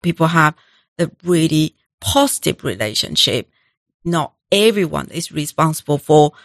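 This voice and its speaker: A middle-aged woman, telling stories as an interview guest in halting, full of restarts. Her voice sits a little low. The recording is dry and close. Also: no echo. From Taiwan. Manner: halting, non-native phrasing with restarts. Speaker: guest, animal welfare director. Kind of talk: conversational interview storytelling.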